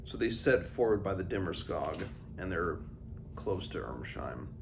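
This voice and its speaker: A man's voice: sits low, sing-song, a little slow. A man hosting an informal book read-along, mixing read-aloud passages with his own commentary in stop-and-go phrasing. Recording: clearly noisy background ambience.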